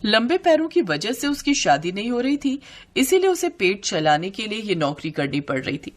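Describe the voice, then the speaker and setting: A woman's voice: mid-range voice, very animated, moderate pace. A woman delivering scripted story narration as the storyteller.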